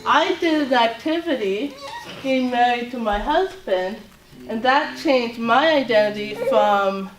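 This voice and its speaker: A woman from Latvia giving an informal talk to a group as a self-advocate, speaking haltingly with many fillers and restarts. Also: a little high, a little hiss or hum. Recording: roomy echo, voice far from mic, faint ambience.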